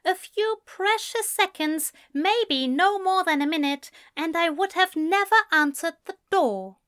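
A woman's voice: extremely high pitch, animated, a little slow, a bit bright, pitched above the performer's own voice, heavily acted. A woman voicing an earnest, prim man in an audiobook story, with formal, precise phrasing and a firm, exasperated manner. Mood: firm, angry.

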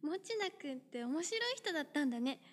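A woman's voice: high voice, big pitch swings, brisk. A woman voicing a cheerful, friendly teenage schoolgirl who talks in a breezy, teasing way, in an audio drama. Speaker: voice actor.

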